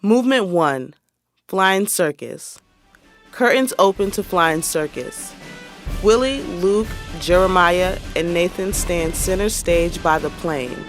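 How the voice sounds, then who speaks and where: A man's voice: sits high, expressive, takes it slowly, fairly bright tone, faint music behind. A man narrating stage directions for a play in a scripted reading style.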